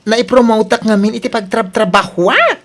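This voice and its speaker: A man's voice: high-pitched, animated, moderate pace, fairly bright tone. A man with an Ilocano accent playing an eager, boastful young nephew in a radio comedy-drama. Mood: excited.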